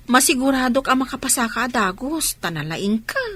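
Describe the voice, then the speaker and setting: A woman plays an anxious, whiny young student in an Ilocano Filipino radio comedy. Her voice is high and bright, swinging with animation as she frets and teases. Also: lively intonation, moderate pace. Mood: worried.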